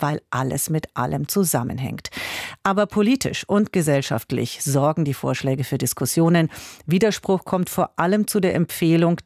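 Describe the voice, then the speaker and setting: A woman hosting a radio talk show, reading her introduction in a clear, measured way. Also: sits low, a little mellow, no echo, clean studio sound. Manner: clear, measured, reads numbers slowly. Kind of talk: scripted radio moderation. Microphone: studio microphone.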